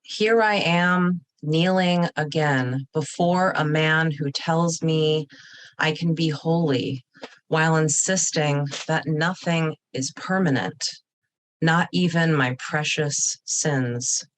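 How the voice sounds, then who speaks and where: A woman poet introducing and reading her own work in a deliberate, solemn manner. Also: sits low, rough recording. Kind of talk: poetry reading.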